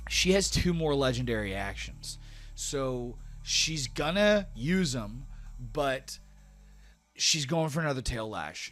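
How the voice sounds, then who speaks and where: A man narrating a tabletop fantasy game as game master in casual, slangy, animated storytelling. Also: medium pitch.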